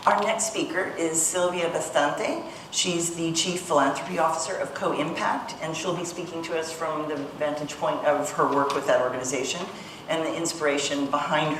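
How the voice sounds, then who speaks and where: A woman chairing a panel discussion, introducing the next speaker in a light, wry way. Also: sits low. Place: conference room. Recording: roomy, distant mic with noise.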